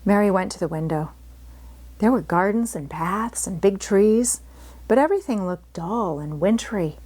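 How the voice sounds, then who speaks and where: A woman narrating a classic children's novel as a scripted, expressive read-aloud. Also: mid-range voice, moderate pace. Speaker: audiobook reader.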